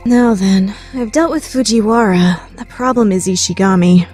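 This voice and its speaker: A woman's voice: medium pitch, moderate pace, darkish tone, faint music behind, close to an everyday voice. A woman playing a proud, scheming young lady student in an anime-style radio play, theatrical and easily flustered. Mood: haughty, scheming. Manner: theatrical, shifting between haughty plotting and flustered outbursts.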